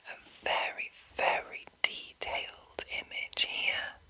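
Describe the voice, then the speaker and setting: A woman hosting whispered ASMR, speaking in a soothing, breathy whisper, with a sore, breaking throat from being under the weather. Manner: slow, soothing, deliberate delivery. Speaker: ASMR channel host. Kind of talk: whispered ASMR, reading and commenting.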